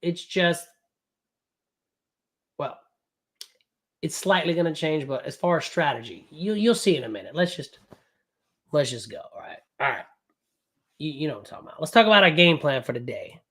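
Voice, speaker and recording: A man running a live trading class, recovering from a cold, talking in a loud, brash and profane way with lots of rambling asides. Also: fairly high pitch, lively intonation. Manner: casual, fast and rambling, with frequent "right?". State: recovering from a cold, voice not fully back. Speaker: day trading coach.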